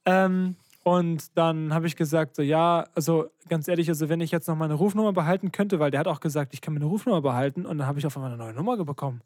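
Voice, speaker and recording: A man's voice: a little high, fast, few pauses, darkish tone, dry recording, clean studio sound. A young man co-hosting a podcast, telling stories in casual slangy speech and acting out the dialogue. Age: young adult. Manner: slangy, colloquial, acts out quoted dialogue. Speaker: podcast co-host. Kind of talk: casual chat, animated storytelling.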